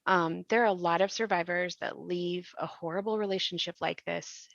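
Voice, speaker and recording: Woman, lower register, earnest and emphatic panel discussion, rhetorical questions, dull lo-fi audio. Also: fairly even intonation, moderate pace, gravelly, dry recording. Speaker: podcast creator and actor discussing the show.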